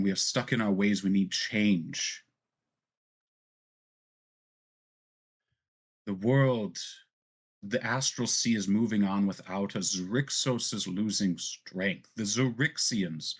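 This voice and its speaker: Man voicing a slow, low-pitched frail elven noblewoman. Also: slightly rough. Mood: solemn, indignant. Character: frail elven noblewoman, earnest, quietly indignant and tender. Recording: music behind the voice.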